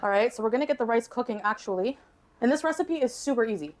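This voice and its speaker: A woman's voice: a little high, lively intonation, fast. A woman casually explaining recipe steps as a home cooking vlogger, recorded in her kitchen.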